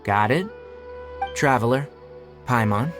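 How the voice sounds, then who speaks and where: A man's voice: mid-range voice, takes it slowly, music in the background, close to an everyday voice. A man playing a composed, blunt young forest ranger and healer in a game, explaining things in an articulate, matter-of-fact way. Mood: calm, instructive. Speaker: forest watcher. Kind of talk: English game dub dialogue.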